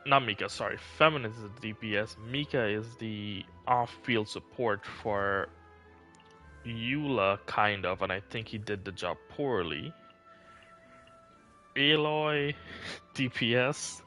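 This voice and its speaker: A man's voice: fairly low pitch, takes it slowly, pushed and bright, soft background music. A man chatting casually on a live game stream, rambling and thinking aloud as he goes.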